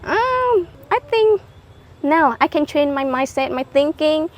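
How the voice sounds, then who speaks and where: High-voiced young woman. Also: sing-song, slow. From Vietnam. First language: Hmong. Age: young adult. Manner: simple learner English, frequent affirming yeses. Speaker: interview guest. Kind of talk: casual interview chat.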